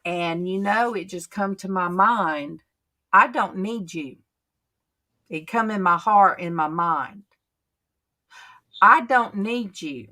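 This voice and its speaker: A woman hosts a casual faith chat, telling homey stories at a slow, unhurried pace. Her voice is bright and forward, and her manner is chatty and drawn-out. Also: mid-range voice. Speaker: channel host.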